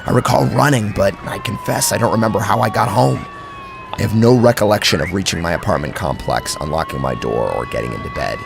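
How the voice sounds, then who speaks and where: A man's voice: fairly low pitch, huge pitch swings, fairly quick, vocal fry, background noise. A man narrating a first-person horror story in a theatrical, suspenseful way.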